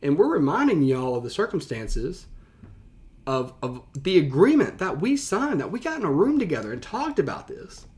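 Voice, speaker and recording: A man's voice: a little high, normal speed. American man giving casual, slangy reaction commentary. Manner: colloquial slang, thinking aloud, animated paraphrasing. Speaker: teacher reacting to a historical reading.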